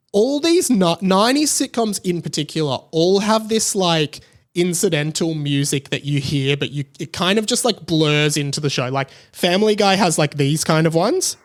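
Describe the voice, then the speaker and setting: A man hosts a solo podcast. He talks in a casual, rambling, conversational style, as if thinking aloud. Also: fairly high pitch. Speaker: podcast host. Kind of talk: casual solo podcast chat about TV shows.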